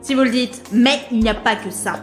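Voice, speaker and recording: A disapproving woman manager, played by an actress, speaking in a fairly high voice with clear room echo. Her manner is formal, measured and exasperated, as in an audio drama scene in an office. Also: soft background music, lightly acted. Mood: reproachful. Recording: roomy echo on the voice.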